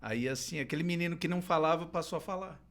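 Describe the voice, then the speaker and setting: A man's voice: on the high side, fast talker, fairly bright tone. A middle-aged man from Londrina in Brazil, a clown and theater teacher, telling his life story as a podcast guest with frequent hesitations and restarts. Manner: hesitant, many restarts and fillers, laughs easily. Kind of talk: casual storytelling interview.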